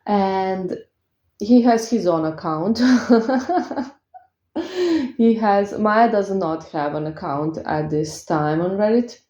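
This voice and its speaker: A woman's voice: medium pitch, takes it slowly, long pauses, dark tone. A woman from Croatia with a Croatian accent, a tarot reader chatting casually to her viewers, hesitant with many fillers. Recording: echoey room, dull lo-fi sound.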